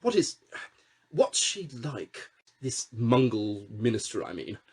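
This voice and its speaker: A man's voice: mid-range voice, very animated, slow, husky, exaggerated voice. A man playing a timid fidgety minister. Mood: nervous. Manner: timid, hesitant, fawning. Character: short fidgety nervous male minister, a toady.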